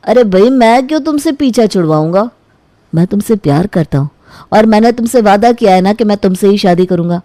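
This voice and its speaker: A woman's voice: medium pitch, lively intonation, brisk, mellow, no room sound, natural voice. A woman voicing an earnest young man eager to marry, coaxing and reassuring in a storybook tale. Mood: pleading, worried. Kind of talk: animated storybook dialogue.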